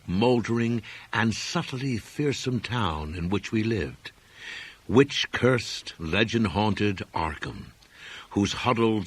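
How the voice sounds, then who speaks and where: A man narrating a scripted horror story as an audiobook reader, in a measured, solemn and deliberate manner. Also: fairly low pitch, fairly bright tone, breathy edge, no room sound.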